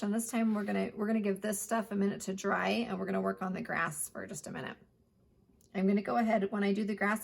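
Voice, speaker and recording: Woman, art teacher, hoarse, gravelly fry, chatty step-by-step instructions. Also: mid-range voice. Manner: chatty, plain instructional talk. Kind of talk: step-by-step painting lesson.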